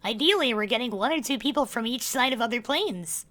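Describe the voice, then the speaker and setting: A young woman acting an earnest, friendly and informal young queen in an expressive fan dub. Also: fairly high pitch, a little fast. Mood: enthusiastic.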